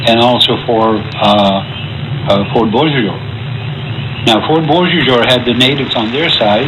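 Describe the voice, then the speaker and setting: A man from eastern Canada giving plain-spoken, informal oral history. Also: mid-range voice. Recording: clear background noise.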